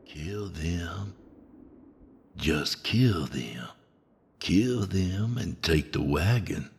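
A man's voice: low voice, animated, drawn-out words, husky, full character voice. A man voicing a sinister inner voice that whispers menacingly. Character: sinister male inner voice urging murder.

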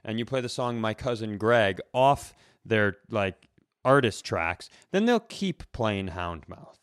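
A man's voice: on the low side, animated, takes it slowly, smooth, dry recording, studio quality. A man co-hosting a comedy podcast, chatting casually in a rambling, bantering storyteller's way.

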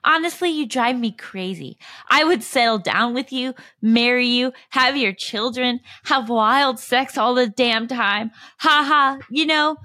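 A woman's voice: fairly high pitch, pushed and bright, no echo, clean studio sound. A woman giving a dramatic comic reading as a gushing, clingy, lovesick woman sending obsessive texts. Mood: impassioned gushing. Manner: dramatic monologue with drawn-out, list-like delivery.

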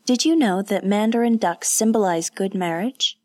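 Een vrouw die speelt een gretige jonge edelvrouw. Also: female voice, mid-range voice, measured pace, darkish tone, dry recording, studio quality, voice pushed up, lightly acted. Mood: eager. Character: sheltered young noblewoman, eager and curious.

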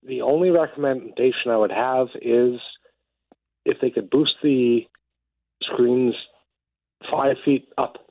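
A male caller with a plain, mid-pitched voice who speaks in a halting, plainspoken way. He comes through a narrow, tinny phone line with a dry sound. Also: no room sound. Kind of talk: casual phone chat. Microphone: telephone.

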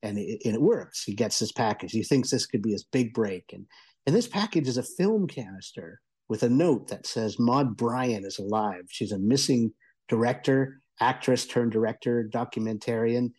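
A male radio columnist talks through a review in a conversational style. His voice is mellow and dark, with a husky grain, and he explains keenly in halting stretches. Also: mid-range voice, expressive. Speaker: audio entertainment columnist and reviewer.

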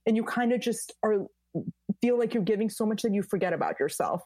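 A woman in her thirties from the United States, a high-energy podcast guest who tells animated stories in casual chat. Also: fairly quick, no room sound.

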